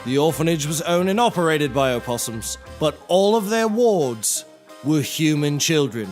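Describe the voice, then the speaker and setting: A man's voice: on the high side, animated, normal speed, soft background music, lightly acted. A man playing a genial, grandiose eccentric amateur taxidermist in a scripted audio comedy, speaking in florid, theatrical phrases.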